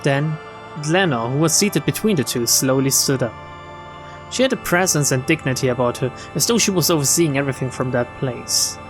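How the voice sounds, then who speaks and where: A man's voice: medium pitch, animated, fairly quick, music in the background. A man streaming a game, reading story text aloud and adding casual comments. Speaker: streamer and narrator.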